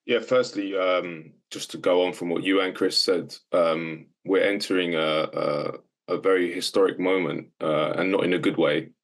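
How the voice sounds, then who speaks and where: A man's voice: sits low, fairly even intonation, normal speed, gravelly. A man speaking as a roundtable guest in an earnest, urgent way with some fillers. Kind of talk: panel discussion.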